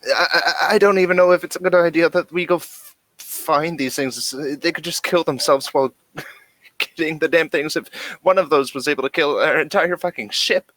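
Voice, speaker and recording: A man playing an anxious, shaken ship navigator in a tabletop role-play, speaking haltingly and stammering. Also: a little high, a bit bright, dry recording, voice pushed up, close to an everyday voice. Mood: shaken, fearful. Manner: hesitant, stammering.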